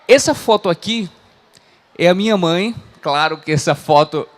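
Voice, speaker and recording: A man's voice: high-pitched, normal speed. A man in his thirties from Chile, speaking Portuguese with a Chilean Spanish accent, giving an earnest motivational talk as a coach. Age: 30s. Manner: earnest, frequent "né?" tags. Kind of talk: motivational talk to a live audience.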